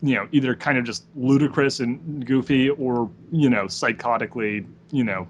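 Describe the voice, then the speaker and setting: A man in a casual podcast conversation, with an average-pitched voice. He explains his views firmly and swears freely. The recording has clear background noise. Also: moderate pace, muffled recording. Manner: profane, opinionated, explanatory. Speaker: podcast co-host. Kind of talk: casual chat.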